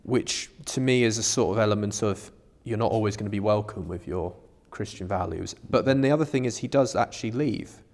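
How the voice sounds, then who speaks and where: A man's voice: on the low side, a little fast, hardly any pauses, darkish tone. A man in a casual, informal discussion, thinking ideas through reflectively as a podcast co-host. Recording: long reverberant room sound.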